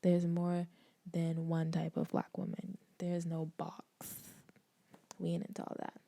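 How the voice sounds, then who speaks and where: A young woman from the Bahamas, hosting her own podcast in candid, animated casual talk with frequent laughs and slangy asides. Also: fairly low pitch, a little slow, vocal fry, no echo. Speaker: podcast host. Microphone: desk microphone.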